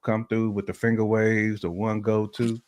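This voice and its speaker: American man, fairly deep voice, casual podcast talk, slangy, repetitive emphasis. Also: little pitch movement, normal speed, darkish tone. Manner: slangy, repeats phrases for emphasis. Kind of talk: casual relationship podcast chat.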